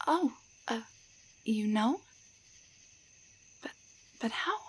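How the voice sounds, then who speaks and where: Soft breathy, hesitant doll girl acted by a woman. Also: fairly high pitch, rough recording. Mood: hesitant, trusting. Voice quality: soft, breathy, often near-whisper. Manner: hesitant, halting, stammering. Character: shy, grateful young tavern waitress turned into tiny doll. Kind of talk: roleplay audio drama, intimate one-sided dialogue.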